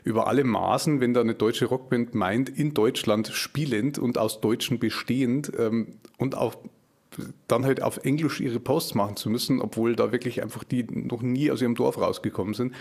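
A man's voice: mid-range voice, fairly even intonation, normal speed, creaky, darkish tone, breathy edge. A man hosting a podcast interview, speaking thoughtfully and often searching for words as he goes. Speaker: podcast host. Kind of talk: interview conversation.

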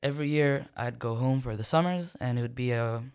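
A young man from Sudan, a podcast guest, answering questions in a thoughtful, measured way with many pauses. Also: mid-range voice, smooth. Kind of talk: conversational interview answers.

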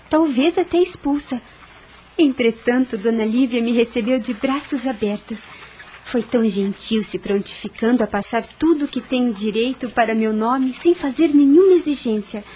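An actress in a radio drama playing a gentle, earnest young heiress with polite, formal, expressive speech. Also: female voice, a little high, a little hiss or hum, natural voice. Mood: relieved, grateful.

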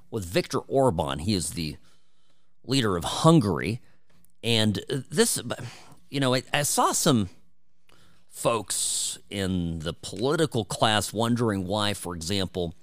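A man's voice: on the low side, no echo, studio quality. A man hosting a talk radio show, delivering an opinionated, emphatic and conversational monologue. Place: radio studio.

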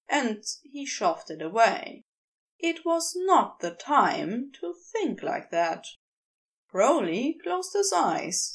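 Slow, expressive woman narrator. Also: high-pitched, creaky. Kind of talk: scripted fiction narration.

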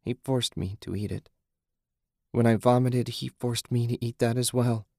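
A man's voice: mid-range voice, fairly even intonation, moderate pace, gravelly, a little mellow, no room sound, studio quality. A man voicing a self-loathing, beaten-down mother in an audiobook, confessing and pleading. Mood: broken, ashamed. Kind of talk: audiobook character acting.

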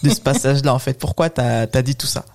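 A woman's voice: rumbling low, fast, a little mellow. A woman hosting a conversational interview, speaking in a thoughtful, informal and wordy manner. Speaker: podcast host, interviewer.

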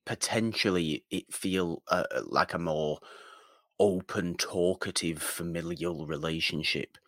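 Male podcast co-host, low and forceful, casual film talk, restates points emphatically, dry recording. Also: measured pace, no echo.

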